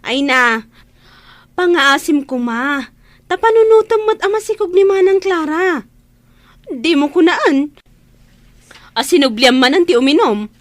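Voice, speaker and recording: Woman playing scolding younger sister, very high voice, close dry sound, Ilocano accent, blunt, exasperated, radio drama. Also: lively intonation, unhurried, long pauses, no echo. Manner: reproachful, blunt.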